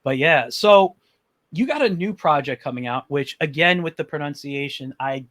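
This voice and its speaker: A man hosts an interview in a casual chat. He rambles in a friendly way and pokes fun at himself. His voice sits slightly high for a man. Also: expressive, normal speed, fairly bright tone. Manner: friendly, rambling, self-deprecating. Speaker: interview host.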